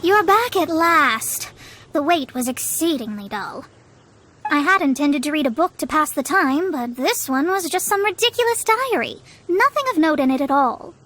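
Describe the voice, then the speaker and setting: A woman's voice: high voice, sharp tone, a little hiss or hum. A woman voicing a proud, learned young female astrologist in a video game, speaking in formal, haughty, theatrical phrases. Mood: exasperated. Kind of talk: scripted video game voice acting. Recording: studio voice over game music.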